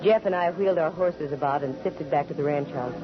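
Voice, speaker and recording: A woman's voice: sits low, a little fast, over music, natural voice. An American woman playing a witty, assertive private detective with dry humor and a self-assured manner, in 1940s radio drama style. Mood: wry narration. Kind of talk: 1940s radio drama acting and first-person narration. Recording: dull lo-fi 1940s radio recording.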